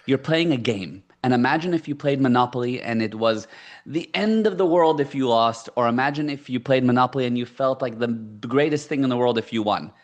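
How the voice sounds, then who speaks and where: A middle-aged man who grew up partly in South Africa and whose first language is Hebrew talks in a casual podcast interview. His voice sits at an ordinary mid pitch. He drives his points home by repeating key phrases. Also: fairly quick, lo-fi sound. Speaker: guest, entrepreneur and coach.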